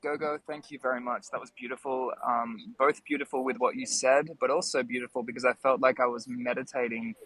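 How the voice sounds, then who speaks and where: A man with a mid-pitched voice moderates a casual online chat. His way of talking is easygoing and conversational. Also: fairly even intonation, fairly quick, hardly any pauses, a bit bright, low-quality recording.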